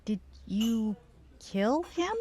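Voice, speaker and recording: Woman acting a husky-voiced, concerned girlfriend. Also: fairly high pitch, animated, very slow, dark tone, exaggerated voice. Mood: worried, probing. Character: concerned, questioning girlfriend in supernatural mystery. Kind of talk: audio drama acting.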